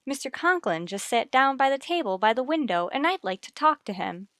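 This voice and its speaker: An American actress playing a wry, sardonic schoolteacher with dry delivery in a vintage radio sitcom. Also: female voice, sits high, very animated, fairly quick, a bit bright, no echo. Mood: coy, wry.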